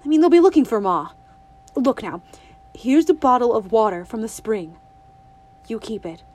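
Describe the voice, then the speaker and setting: A woman voicing an eager young country boy who talks fast in a hushed, urgent, rustic drawl in an audiobook. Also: on the high side, very animated. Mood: pleading. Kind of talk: audiobook reading.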